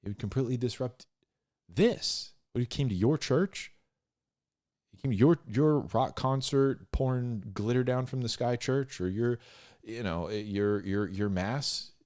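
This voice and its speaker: A man giving informal, off-the-cuff Bible commentary in a conversational, halting way, repeating phrases for emphasis. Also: medium pitch, darkish tone. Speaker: Bible storyteller and commentator, host.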